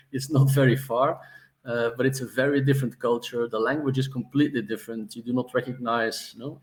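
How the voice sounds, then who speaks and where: Reflective Belgian-raised man in his forties. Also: medium pitch. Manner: reflective, with frequent "you know" and restarts. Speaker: guest. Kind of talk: interview answers.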